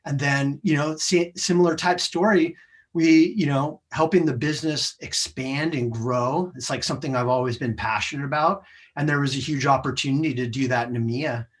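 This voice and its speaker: A man's voice: mid-range voice, steady tone, moderate pace. A man with an American accent speaking as a podcast guest in a conversational, explanatory way, often adding small checking tags. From United States. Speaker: podcast guest, sales professional. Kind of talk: conversational interview, explaining career lessons.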